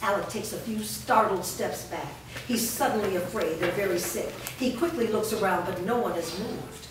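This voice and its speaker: A woman's voice: mid-range voice, moderate pace. A woman reads stage directions aloud as scripted narration. She sits far from the mic in a very echoey room. There is faint noise in the recording. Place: bare studio stage room, indoors. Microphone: far camera.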